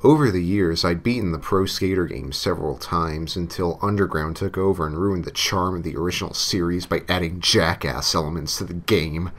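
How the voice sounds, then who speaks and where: A man's voice: sits low, fairly quick, hardly any pauses. A man reading a scripted story as narrator in a tongue-in-cheek way, sometimes hamming up his lines. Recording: music playing behind the voice. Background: novelty songs and game music.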